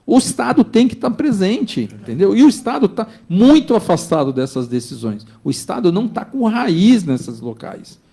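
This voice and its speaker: Business guest, male, 50s: gravelly, warm-toned, emphatic and insistent. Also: high-pitched, very animated, moderate pace, hardly any pauses. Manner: emphatic, insistent, keeps checking with the listener. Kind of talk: opinionated studio discussion.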